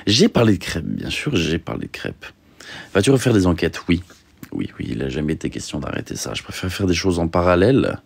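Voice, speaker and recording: A young man chatting casually on a livestream, reading out questions and answering in a halting way, repeating himself with lots of fillers. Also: low voice, big pitch swings, gravelly, husky. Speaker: streamer reading and answering chat.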